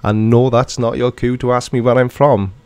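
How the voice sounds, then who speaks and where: A man's voice: medium pitch, little pitch movement, normal speed, a little mellow, smooth, no room sound. A middle-aged man from Wales with a Welsh accent, casually chatting and reading viewer comments aloud on a livestream. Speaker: livestream host.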